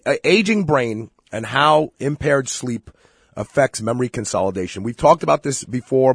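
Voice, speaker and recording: A man hosting a radio health show, hoarse from being ill, talking in a chatty, emphatic way with many fillers. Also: on the low side, dry recording, clean studio sound. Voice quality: husky. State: hoarse from illness. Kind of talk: casual radio talk.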